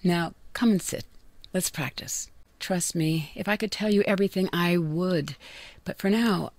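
A woman voicing a loving but secretive mother in a video game, gently firm and evasive. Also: lowish voice, measured pace, no room sound. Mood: gentle, firm. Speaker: voice actor.

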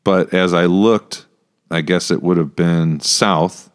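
A man with a low American voice chats as a podcast host. He thinks out loud, wandering through his points in a casual discussion, close to a studio microphone. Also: fairly even intonation, slow pace, clear, resonant tone. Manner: rambling, thinking aloud. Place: small podcast room with acoustic panels. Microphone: close studio mic on stand.

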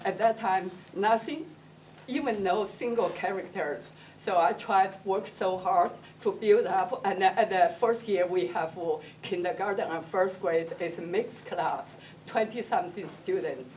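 Middle-aged female teacher, native Mandarin speaker with accented, halting English, earnest, distant mic. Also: mid-range voice, a little mellow. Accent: Mandarin Chinese. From China. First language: Mandarin Chinese. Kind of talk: impromptu public comment at a meeting. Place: school library, public meeting. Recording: distant mic, faint room noise.

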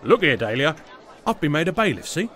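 A man's voice: a little high, sing-song, a little fast, fairly bright tone. Man playing an officious British village bailiff. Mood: self-important, irritated. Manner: self-important, colloquial. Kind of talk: comic audio drama acting.

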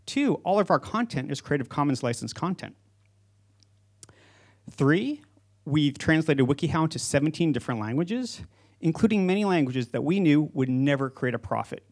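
A man in his forties speaks in a fairly high voice, presenting a prepared talk to an audience. He is a company founder on an auditorium stage, using a handheld microphone. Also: very animated, normal speed, a little mellow. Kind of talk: prepared conference speech to an audience.

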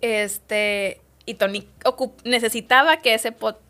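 Woman guest, slightly high voice, lively anecdote-teller. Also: slow pace. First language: Spanish. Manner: lively storytelling, quotes others' words. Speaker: interview guest. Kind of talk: casual interview chat.

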